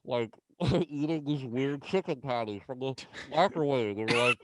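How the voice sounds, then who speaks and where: A man's voice: medium pitch, expressive, a little slow, no room sound, rough recording. A man hosting a podcast, chatting casually and teasing his guest with jokes. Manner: teasing, joking, laughs often. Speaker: podcast host. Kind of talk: casual chat.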